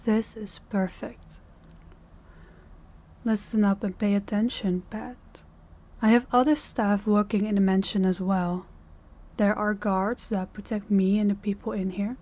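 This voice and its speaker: A woman playing a dominant, cold mafia boss in a roleplay audio, speaking to the listener in a deliberate, condescending, commanding way. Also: medium pitch, fairly even intonation, a little mellow, lightly acted. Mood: smug, controlled.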